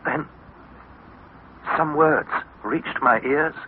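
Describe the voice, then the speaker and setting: Slow, earnest young adventurer narrating. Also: male voice, fairly high pitch. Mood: haunted, tense. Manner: deliberate, theatrical, measured delivery. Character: Harry, earnest young explorer narrating his underground ordeal. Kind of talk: dramatic narration in 1960s radio serial. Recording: muffled, noisy vintage radio recording.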